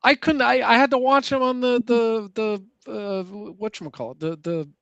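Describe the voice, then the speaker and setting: A man in a casual chat who argues his point haltingly, often searching for words. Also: very high-pitched, steady tone, a bit bright, smooth, no echo, highs cut off.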